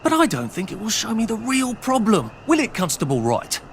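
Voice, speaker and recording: A man's voice: high-pitched, wildly swooping pitch, fairly quick, creaky, a little hiss or hum, heavily acted. An actor playing a sly, anxious, bluffing man with an English accent and a dry, polite, hesitant manner. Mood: sly, probing.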